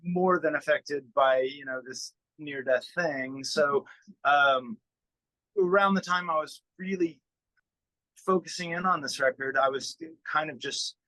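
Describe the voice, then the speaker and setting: A man being interviewed as a musician, talking casually and haltingly, searching for words and often trailing off into "you know.". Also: a little high, sharp tone, no echo, poor audio.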